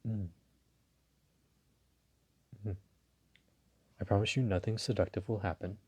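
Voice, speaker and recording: A man playing a suave, dominant, flirtatious mafia boss in an intimate audio roleplay, courteous and teasing, with a low husky voice. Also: fairly even intonation. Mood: courteous, relaxed. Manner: slow, teasing, courteous, softly chuckling.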